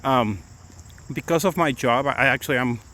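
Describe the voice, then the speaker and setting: A man's voice: mid-range voice, moderate pace, sharp tone, slight background noise. Middle-aged man with a Mexican accent. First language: Spanish. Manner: chatty, rambling, many restarts. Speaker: attorney vlogger. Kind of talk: casual live-stream chat. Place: outdoors on a morning walk.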